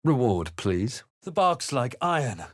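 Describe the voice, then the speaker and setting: A man playing a grave, wary fantasy adventurer, delivering scripted game lines in a measured, dramatic way. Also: mid-range voice, very animated, no echo, clean studio sound. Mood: grave, haunted.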